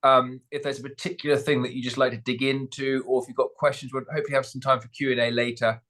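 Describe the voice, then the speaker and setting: A man's voice: mid-range voice, level tone, fast, a bit bright. A man co-hosting an online forum, upbeat and chatty, often stumbling over his words. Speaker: co-host. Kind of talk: casual online talk.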